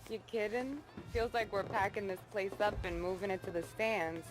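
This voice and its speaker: A woman's voice: mid-range voice, moderate pace, close to an everyday voice. Woman playing sassy American barmaid, music behind. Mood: playful. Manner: sassy, casual. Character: sassy, teasing barmaid in a gangster game. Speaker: game character actor. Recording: music over dialogue.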